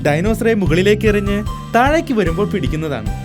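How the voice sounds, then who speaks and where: A woman's voice: mid-range voice, sing-song, fast talker, loud music behind the voice, natural voice. A woman playing a friendly storyteller, narrating a cartoon for young children. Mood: storytelling.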